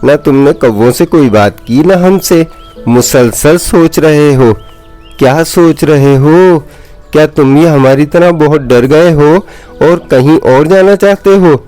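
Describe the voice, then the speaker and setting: A man's voice: a little high, takes it slowly, dark tone, soft background music, voice pushed up. A man voicing a worried, imploring small bird in a children's animal story. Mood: puzzled, worried. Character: anxious pleading small birds, sparrow and parrot.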